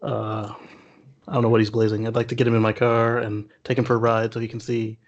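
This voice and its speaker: A man co-hosting a casual news discussion in a halting way, sometimes chuckling as he talks. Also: mid-range voice, monotone, dull audio. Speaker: talk show co-host.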